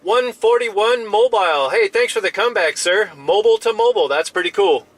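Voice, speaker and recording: A man's voice: sits high, brisk, noisy background. A friendly, chatty man talking casually in radio operator jargon from a parked pickup truck, often repeating himself.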